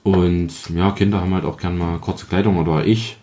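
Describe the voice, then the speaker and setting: A man's voice: low-pitched, level tone, moderate pace, warm tone. A man in a casual podcast chat, talking reflectively in a loose colloquial way with frequent tag questions. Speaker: podcast guest. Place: kitchen.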